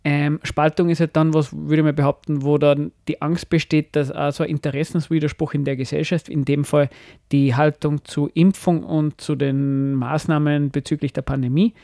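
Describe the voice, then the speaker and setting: A man's voice: fairly high pitch, little pitch movement, moderate pace, gravelly, mellow, dry recording, studio quality. A man, co-hosting a podcast, talking thoughtfully with many hesitations and restarts. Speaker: podcast co-host. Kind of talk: casual discussion.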